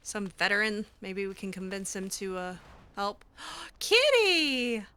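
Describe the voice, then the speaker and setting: Bright-voiced woman gamer, musing aloud. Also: mid-range voice, big pitch swings, slow pace, clean tone. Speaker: gamer narrating a roleplay playthrough. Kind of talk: casual in-character game commentary.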